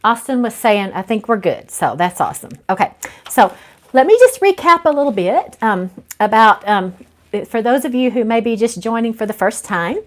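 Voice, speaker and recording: A woman's voice: a little high, expressive, normal speed. Woman author hosting a live book reading. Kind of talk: informal livestream chat and read-aloud narration.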